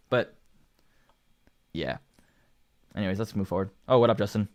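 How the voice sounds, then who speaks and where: Canadian dude, kinda low voice, rambly casual chat about singing, tons of filler words. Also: male voice, expressive, measured pace. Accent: Canadian English. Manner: rambling and filler-heavy, lots of likes and ums. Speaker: singer and channel host. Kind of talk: casual livestream commentary.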